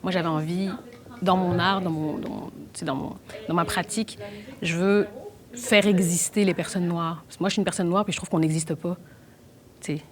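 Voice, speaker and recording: A young adult woman from Belgium, talking fast with many restarts in a documentary interview. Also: fairly low pitch, steady tone. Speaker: actress and playwright.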